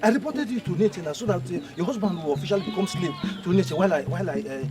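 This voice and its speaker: A man acting as a shameless village lecher with a Nigerian accent, in a melodrama. He talks very quickly in a lightly raised pitch, stammering and repeating himself as he pushes and schemes. Music plays clearly behind him. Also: lightly acted. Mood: pushy, wheedling. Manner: excitable, repetitive stammering pleading.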